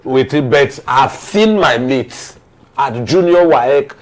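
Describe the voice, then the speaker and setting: A man's voice: mid-range voice, animated, slow pace. A man with a Nigerian accent speaking as an opinionated, emphatic guest in a TV panel discussion, leaning on anecdote.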